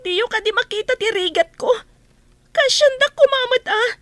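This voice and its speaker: Woman acting as very high, plaintive young daughter. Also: moderate pace, fairly bright tone, dry recording. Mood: reproachful. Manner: plaintive, reproachful. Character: overburdened, resentful eldest daughter, young. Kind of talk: radio drama acting.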